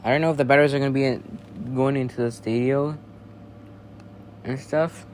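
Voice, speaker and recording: A man's voice: mid-range voice, fairly even intonation, normal speed, darkish tone, natural voice. A man playing a flustered, easily panicked emergency newsreader in an amateur alert parody, whose formal announcements lapse into rambling. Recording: noisy amateur home recording.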